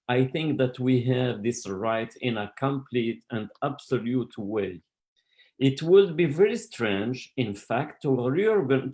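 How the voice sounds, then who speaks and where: A middle-aged man from Morocco giving an online scientific lecture, speaking carefully with many pauses, fillers and restarts. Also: medium pitch, darkish tone.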